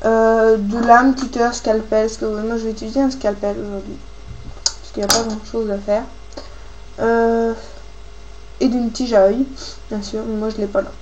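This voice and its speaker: High-voiced woman with a cold. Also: level tone, darkish tone, highs cut off. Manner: informal, halting, thinking aloud. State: has a cold, coughing. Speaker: craft tutorial host. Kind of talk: casual hands-on tutorial, talking while working. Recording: clearly noisy homemade recording with clicks.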